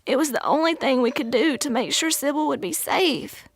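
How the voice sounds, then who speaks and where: A woman playing a kindly, motherly older aunt with a gravelly drawl in an audio drama. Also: sits high, normal speed. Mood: worried.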